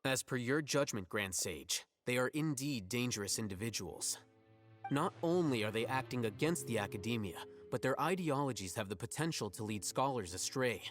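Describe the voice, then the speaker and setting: An actor playing a composed, aloof male scribe in a video game, talking in a measured, formal, matter-of-fact way. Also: mid-range voice, expressive, faint music behind, close to an everyday voice. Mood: cool, detached. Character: composed male scholar-scribe, cool, aloof, dryly detached.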